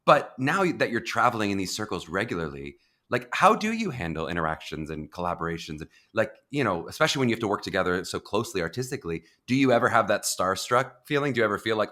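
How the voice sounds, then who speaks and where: A man's voice: on the low side, pushed and bright. A man hosting a podcast interview, asking long, rambling, eager questions full of fillers. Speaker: podcast host. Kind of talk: casual interview.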